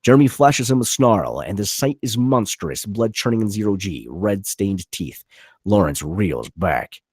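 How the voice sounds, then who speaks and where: Male table-read narrator: raspy, punchy, lively pitch swings, dry close sound. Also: unhurried, no room sound. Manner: vivid, punchy reading of action. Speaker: narrator reading stage directions.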